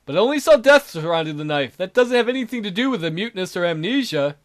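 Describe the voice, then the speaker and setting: Man voicing a melodramatic, gloomy young-sounding god, high-pitched. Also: animated, fast. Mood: indignant. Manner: dramatic, complaining. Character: self-absorbed, gloomy fatalist male god, melodramatic.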